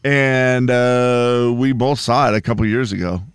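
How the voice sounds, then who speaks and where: A man's voice: mid-range voice, steady tone, clean tone, no echo, studio quality. A man hosting a morning radio show, chatting casually and teasing his co-hosts. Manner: playful, teasing, quick asides.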